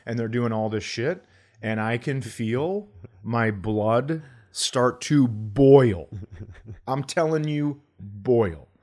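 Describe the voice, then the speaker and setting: A man hosting a comedy podcast, telling stories in an animated, grumbling, sweary way and acting out quotes. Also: medium pitch, slow. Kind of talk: comic storytelling in casual podcast chat.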